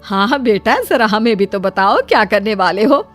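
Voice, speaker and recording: A woman's voice: mid-range voice, animated, normal speed, faint music behind, lightly acted. A woman voicing a homely, cautious elderly mother-in-law in a cartoon moral story. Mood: curious.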